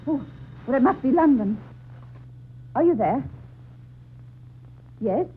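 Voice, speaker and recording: A woman's voice: high voice, expressive, takes it slowly. An actress playing an anxious woman in an old-time radio drama, speaking in a theatrical, exclamatory way. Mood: affectionate, composed. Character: anxious woman recounting a ghostly rescue. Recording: muffled tinny old radio recording, faint noise.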